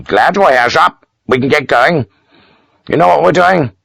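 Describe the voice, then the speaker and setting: A man voicing a gruff, pious older army major who talks in curt, self-important bursts. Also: a little high, lively intonation, measured pace, fairly bright tone, dry recording, voice pushed up, exaggerated voice. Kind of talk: audiobook character voice.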